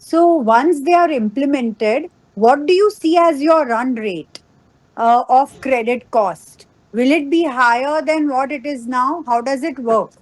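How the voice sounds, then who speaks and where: A woman's voice: sits high, a little slow, rough recording. A woman politely asking questions as an analyst on an earnings call.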